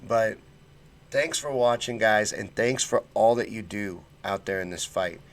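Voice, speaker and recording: A low-voiced man hosts a casual livestream and answers chat comments. He talks bluntly, with folksy slang and fired-up conviction. His voice sits on the low side. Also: unhurried. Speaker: political livestream host.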